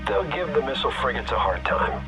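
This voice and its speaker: An actor playing a practical engineering officer in a sci-fi game, giving casual, upbeat reports over a radio. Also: male voice, medium pitch, sing-song, a little fast, vocal fry. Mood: upbeat, businesslike. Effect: radio filter. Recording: narrow radio sound, music behind.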